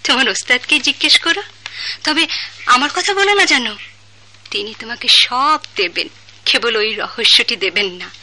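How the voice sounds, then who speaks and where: A woman's voice: on the high side, lively intonation, normal speed, sharp tone, heavily acted. A Bangladeshi woman playing a proud, wounded young daughter in love, lyrical and questioning, in theatrical radio drama with faint noise behind her. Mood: mysterious, teasing.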